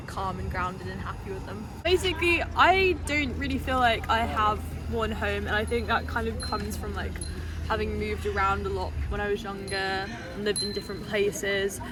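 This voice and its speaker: A young woman narrating and interviewing in a reflective, informal style. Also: mid-range voice. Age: young adult. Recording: distant mic, loud outdoor traffic noise.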